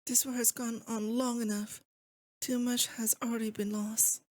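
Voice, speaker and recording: A lovesick demon lord , acted by a woman, speaks in a high, intimate voice. She is doting and possessive, with sweet menace under every word. The delivery is theatrical romantic ASMR roleplay, close and confiding. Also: flat delivery, normal speed, a little mellow, lightly acted. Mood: cold, commanding.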